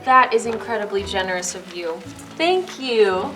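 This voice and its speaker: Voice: medium pitch, expressive, moderate pace, natural voice. An amateur performer with a female voice playing a grateful, polite blacksmith in a storybook reader's theater play. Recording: slightly distant mic, faint music.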